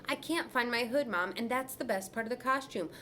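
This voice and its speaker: A woman's voice: fairly quick, pushed and bright, slight background noise. A woman voicing a young boy who whines and cries in a storybook reading. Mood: upset. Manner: high whining and crying. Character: upset young boy turkey, whiny, excitable.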